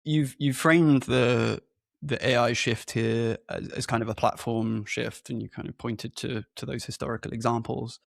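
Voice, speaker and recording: Creaky-voiced male interviewer, halting delivery. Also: mid-range voice, fairly even intonation, dry recording, studio quality. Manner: tentative, halting question phrasing. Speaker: podcast host, interviewer. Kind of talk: conversational interviewing. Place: remote video call.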